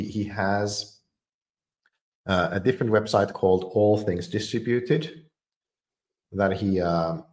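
A man with a low, deep voice chats casually about tech, stumbling over his words and filling gaps with ums. His voice rings around a roomy, echoey space. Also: darkish tone. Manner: hesitant, many fillers and repeated words. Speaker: podcast host. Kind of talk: casual technical discussion. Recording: echoey room.

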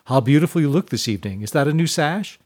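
Guy doing a oily self-important village official for an audiobook, higher voice, fawning, hmm-ing, wheedling. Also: big pitch swings, a little fast. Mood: oily flattery. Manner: fawning, purring, punctuated with questioning "hmm?". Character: pompous, oily male village council head, wheedling and smug.

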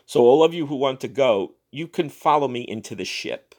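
A man's voice: mid-range voice, expressive, normal speed, a little mellow. A man voicing a determined, wistful old woman with an earnest, speech-making manner in a dramatic story reading. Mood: rousing.

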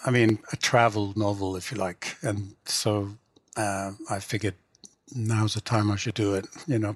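A man's voice: fairly low pitch, dry recording. A middle-aged man with an English accent speaks reflectively and conversationally as an interview guest, hedging often with "you know". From England. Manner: reflective, hedging, frequent 'you know'. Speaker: guest, writer and literary translator.

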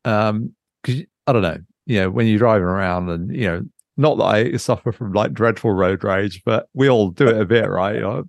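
A man's voice: fairly low pitch, moderate pace, vocal fry, no echo. A British man chatting casually and unscripted as a podcast co-host, thinking aloud in a hesitant, reflective way.

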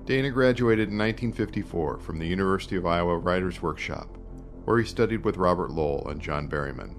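A man's voice: deep voice, quick pace, faint music behind. A man reading scripted announcements as a podcast announcer. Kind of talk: scripted narration.

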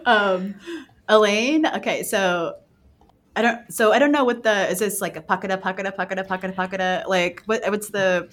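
A woman's voice: mid-range voice, normal speed. A woman co-host chatting casually on a group podcast in an exclamatory way.

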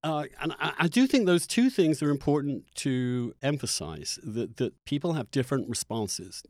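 A man hosting a conversational interview, phrasing questions in a halting, thoughtful way. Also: medium pitch, lively intonation, darkish tone, dry recording, clean studio sound. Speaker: interviewer, host.

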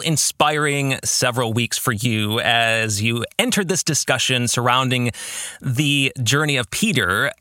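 A man's voice: mid-range voice, bright, forceful tone, no room sound, studio quality. A man hosting a podcast, speaking directly to his listeners in an earnest, emphatic way. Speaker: podcast host. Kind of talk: podcast monologue addressed to listeners.

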